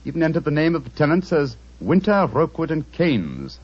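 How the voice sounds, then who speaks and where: A brisk, incisive English detective in a vintage radio mystery. His voice is slightly husky and swoops expressively, clipped and urbane, on a dull old recording. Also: on the high side, big pitch swings. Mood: dryly composed. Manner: clipped, authoritative, dryly urbane. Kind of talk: 1940s radio drama acting. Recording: muffled 1940s radio recording.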